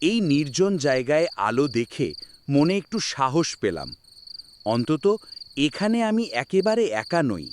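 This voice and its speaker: A man's voice: a little high, sing-song, a little slow, slight background noise. A man playing a young city traveller in a cartoon horror story, in scripted first-person delivery. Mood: uneasy, suspenseful.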